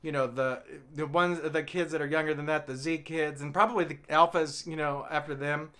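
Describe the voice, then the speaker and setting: A man's voice: on the high side, fairly even intonation, brisk, a bit bright, clean tone. A man from the American South, rambling through a comic monologue and often laughing at his own jokes. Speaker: comedian vlogger.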